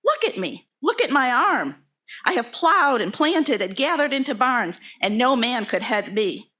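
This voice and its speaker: Woman playing fiery female orator, high-pitched, very animated, folksy, plain-spoken, emphatic rhetoric, dramatic speech reading. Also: heavily acted. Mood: defiant. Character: Sojourner Truth, fiery plain-spoken formerly enslaved woman preacher orating.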